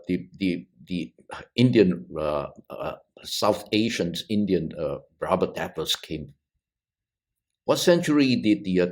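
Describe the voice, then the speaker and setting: This is a middle-aged man from Malaysia who speaks very slowly and keeps stopping to restart his sentences. His low-ish voice swings widely and expressively in pitch. He sounds like a theologian working through an idea on a panel. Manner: halting, with many restarts and repeated words. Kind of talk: thoughtful discussion on a video podcast.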